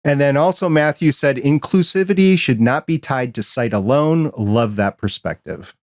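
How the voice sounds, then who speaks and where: Relaxed male podcast host, dry sound. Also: medium pitch, normal speed, dry recording. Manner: relaxed, conversational questioning. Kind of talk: casual interview.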